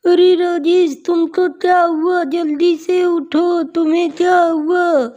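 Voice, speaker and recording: A woman voicing a distraught young village woman in love, pleading and plaintive, in an animated ghost story. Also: very high voice, pitched above the performer's own voice. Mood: panicked. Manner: plaintive, pleading, drawn-out cries.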